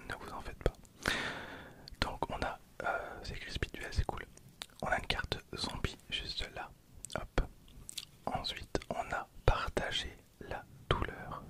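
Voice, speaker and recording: A man in his twenties whispering softly as an ASMR host, hesitant and often repeating his words. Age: 20s. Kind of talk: whispered ASMR card-opening commentary.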